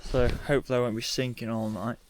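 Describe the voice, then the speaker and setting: A man's voice: mid-range voice, quick pace. Nasal man with a cold. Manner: relaxed, offhand, says "bloody" a lot. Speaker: vlogger. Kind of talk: casual chat to camera. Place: in a car, then outdoors in frozen woods. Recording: noisy background.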